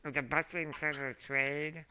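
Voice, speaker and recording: A hoarse man running a tabletop game as dungeon master, unhurried and full of fillers. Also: a little high, little pitch movement. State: hoarse from a throat infection. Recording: muffled home recording with background noise.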